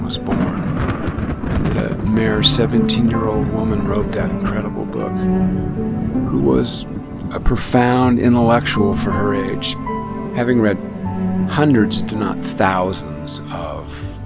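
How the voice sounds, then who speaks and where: A man hosting a radio show, telling reflective stories in a halting way that often restarts phrases. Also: lowish voice. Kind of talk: reflective storytelling monologue. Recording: music bed under speech. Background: music under the voice.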